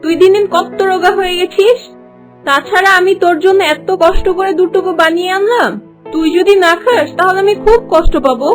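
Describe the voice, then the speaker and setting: A very high-voiced young princess from a cartoon fairy tale. She is jealous and scheming, with a theatrical, coaxing delivery, over background music. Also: a little fast. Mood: falsely sweet, coaxing.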